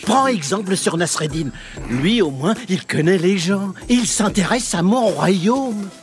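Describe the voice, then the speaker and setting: An actor playing a vain, excitable sultan in a French children's cartoon, theatrical and pompous. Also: male voice, sits high, measured pace, faint music behind. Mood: delighted. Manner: theatrical, exclamatory.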